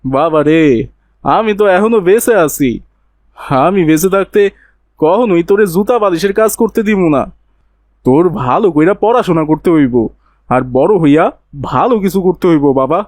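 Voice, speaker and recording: A man's voice: high-pitched, animated, moderate pace, long pauses, a little mellow, dry recording. A man playing a poor, devoted cobbler father in a moral cartoon, with a rural Bangladeshi accent and an earnest, tender manner. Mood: protective, regretful. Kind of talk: Islamic moral cartoon dialogue.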